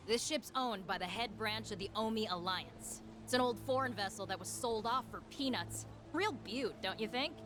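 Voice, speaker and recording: A woman's voice: mid-range voice, moderate pace, few pauses, over music, close to an everyday voice. Cheeky, streetwise young woman, played by an actress. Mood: matter-of-fact, explaining. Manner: slangy, breezy, expressive. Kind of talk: English video game voice acting.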